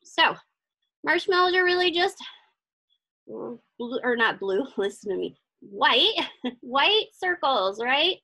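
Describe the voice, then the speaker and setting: High, lively, bright-voiced woman teaching crafts. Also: slow pace, pauses often. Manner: chatty, encouraging, thinks aloud while working. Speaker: craft instructor. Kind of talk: casual step-by-step craft tutorial.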